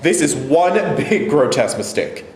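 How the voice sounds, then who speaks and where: A man's voice: mid-range voice, lively intonation, normal speed, darkish tone, close to an everyday voice. A man acting the part of a defensive, evasive elderly Holocaust survivor accused of collaborating, protesting in a staged play reading. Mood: indignant, defensive. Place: indoor room at a staged reading. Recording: echoey room, light noise, distant pickup.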